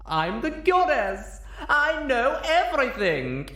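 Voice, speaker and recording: Guy doing a shrill bragging goddess pony lady, high and drawn out. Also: huge pitch swings, slow pace, sharp tone, echoey room, far from the mic, full character voice. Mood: triumphant, accusing. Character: boastful, shrill, accusing self-proclaimed goddess mare.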